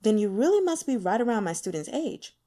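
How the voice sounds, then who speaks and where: Woman reading as a courteous, gentle male teacher. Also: mid-range voice, sing-song, brisk, close to an everyday voice. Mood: friendly, coaxing. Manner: courteous, softly apologetic small talk. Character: polite, gentle male university teacher, considerate and scholarly.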